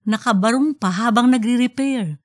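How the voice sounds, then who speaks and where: A woman's voice: on the high side, moderate pace, natural voice. A woman voicing a nagging but fond middle-aged wife in an audiobook story. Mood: admiring.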